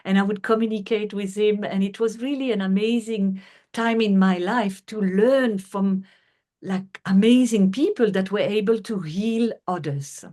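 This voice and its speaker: Woman, French accent, middle-aged, interview guest, earnest, unhurried, medium pitch. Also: dark tone. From France. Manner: earnest, unhurried, with frequent fillers and restarts. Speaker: guest, healer. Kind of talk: conversational interview.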